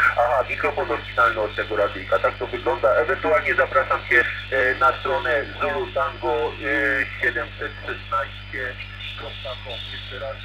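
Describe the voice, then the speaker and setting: A man chatting informally and a little hesitantly as an operator over a two-way radio. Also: mid-range voice. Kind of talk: casual radio contact chat. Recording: noisy, muffled CB radio transmission.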